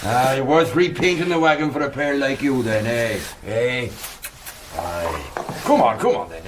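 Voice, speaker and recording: An actor playing a crusty, folksy country horse handler in a period radio drama, grumbling and coaxing. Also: male voice, mid-range voice, animated, takes it slowly, far from the mic, background noise. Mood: affectionate, coaxing. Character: crusty, affectionate male rustic horse handler.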